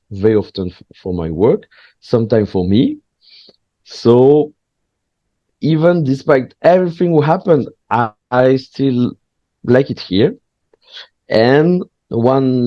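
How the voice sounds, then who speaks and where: A man's voice: mid-range voice, dry recording. Guy chatting in english, lots of uhs and restarts, dry slightly muffled sound. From France. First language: French. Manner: hesitant, frequent pauses and restarts, candid. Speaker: guest, stand-up comedian. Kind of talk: casual interview chat.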